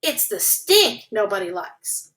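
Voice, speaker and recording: A woman voicing a young male skunk in a read-aloud storybook, with a high, childlike squeal. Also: sing-song, slow pace, sharp tone, heavily acted. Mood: sulky. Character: young male skunk, shy then brave.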